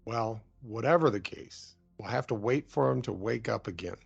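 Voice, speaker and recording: Actor as a measured sailor with creaky voice. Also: male voice, lowish voice, lo-fi sound, faint music behind. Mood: sober, doubtful. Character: level-headed sailor, doubtful, growing uneasy. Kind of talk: radio drama dialogue.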